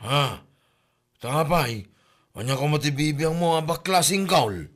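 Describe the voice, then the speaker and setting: A man's voice: fairly high pitch, animated, unhurried, a bit bright. An actor playing a flirty, evasive married man in an Ilocano comedy drama, with an exclamatory, stammering manner and an Ilocano accent. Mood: annoyed.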